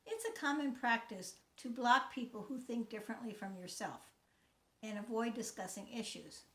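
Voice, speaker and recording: Woman, 60s, teacher reading a talk, earnest, a little high-pitched, roomy recording. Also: expressive, normal speed, sharp tone. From United States. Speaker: teacher and mentor. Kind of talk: scripted talk, read aloud.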